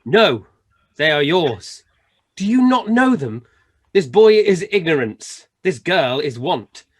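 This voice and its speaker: A man acts as a stern Christmas spirit in a radio play. The voice is fairly high for a male, yet grave and declamatory, solemn in every phrase. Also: big pitch swings, slow pace, heavily acted. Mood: stern warning. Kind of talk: amateur radio play acting.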